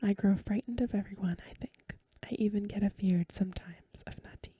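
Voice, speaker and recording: A woman reading aloud in a hushed, measured, breathy whisper, in the soft-spoken style of a bedtime ASMR recording. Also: medium pitch, no echo.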